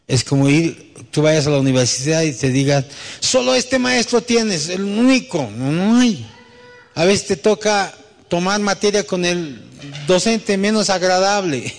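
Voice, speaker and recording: Male pastor preaching in an echoey hall. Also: fairly high pitch. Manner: rhetorical questions and tag questions to listeners; acts out quoted dialogue. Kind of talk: conversational sermon with anecdotes. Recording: echoey hall with long reverb.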